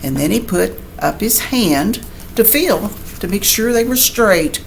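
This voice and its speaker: A woman's voice: mid-range voice, lively intonation, unhurried, creaky, a little hiss or hum, poor audio. A woman reading a picture book aloud like a teacher, expressively, with chatty asides and questions to young listeners. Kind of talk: animated children's read-aloud with chatty asides.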